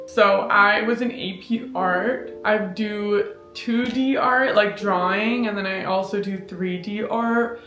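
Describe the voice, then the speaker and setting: A woman in her twenties whose first language is Farsi, chatting casually to camera in a Q&A vlog, candid, laughing often and swearing freely. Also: medium pitch. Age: 20s. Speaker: vlogger. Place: small apartment living room, indoors. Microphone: camera in front, seated framing. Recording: echoey room with music behind.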